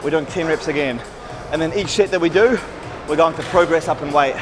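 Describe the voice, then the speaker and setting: A man's voice: on the high side, fairly quick. Upbeat man in a noisy gym. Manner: energetic coaching, counting reps aloud. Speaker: fitness vlogger and host. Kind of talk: casual gym vlog commentary. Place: large indoor gym. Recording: loud gym music behind.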